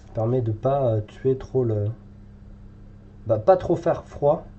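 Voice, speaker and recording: A man's voice: mid-range voice, lively intonation, slow, dark tone, dull audio, a little hiss or hum. A French man with a cold, thinking aloud with many fillers as he paints live in a hobby tutorial. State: has a cold, congested.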